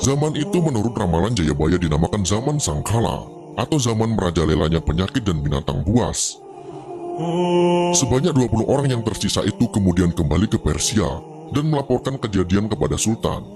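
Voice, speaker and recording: A man's voice: lowish voice, sing-song, fairly quick, over music. A man reading a scripted narration in the style of legendary storytelling.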